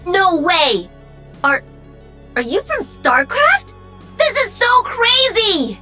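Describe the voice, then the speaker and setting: A woman's voice: extremely high pitch, sing-song, slow pace, sharp tone, faint music behind, exaggerated voice. A woman voicing a perky, cocky young female pro-gamer mech pilot for a video game. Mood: excited. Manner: bubbly, cocky, teasing.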